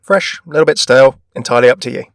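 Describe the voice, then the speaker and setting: A man's voice: mid-range voice, rapid-fire, no echo, clean studio sound. A man presenting a gaming video in a scripted narration style. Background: background music.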